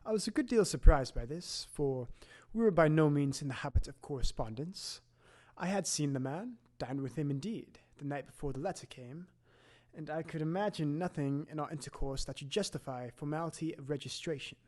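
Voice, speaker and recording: Young man as a higher-voiced, proper Victorian physician. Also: fairly quick, a little mellow, natural voice. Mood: puzzled. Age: young adult. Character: puzzled, proper Victorian physician recounting his narrative. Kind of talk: audio drama narration.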